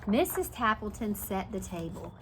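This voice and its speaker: A woman's voice: mid-range voice, very animated, normal speed, gravelly, fairly bright tone, a little hiss or hum. A woman preschool teacher reading a picture book aloud and stopping to explain it simply for young children. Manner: simple explanatory asides, dramatized story reading.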